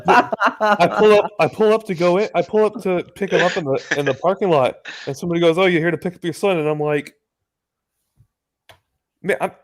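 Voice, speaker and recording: A man's voice: high voice, fairly quick, long pauses. A young American man in his early twenties chats as a guest on a video call. He tells stories with lots of energy, laughing often and swearing freely. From United States. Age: early 20s. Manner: animated storyteller, laughs and swears a lot. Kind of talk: casual chat on a video call.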